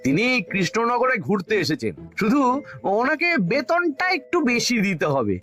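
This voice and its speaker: A man's voice: very high-pitched, wildly swooping pitch, normal speed, a bit bright, faint music behind, full character voice. A man voicing a scheming royal minister in a cartoon, wheedling and hesitant toward the king. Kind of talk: cartoon voice acting.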